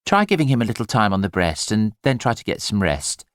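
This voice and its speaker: A man voicing a kindly, brisk maternity nurse in an audiobook, cooing and soothing. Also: lowish voice, very animated, no room sound, clean studio sound. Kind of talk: audiobook character dialogue.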